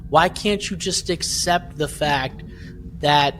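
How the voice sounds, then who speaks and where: A man co-hosting a podcast in casual chat, retelling things in an animated way and often checking for agreement. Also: fairly high pitch, slow pace, a little hiss or hum. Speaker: podcast co-host.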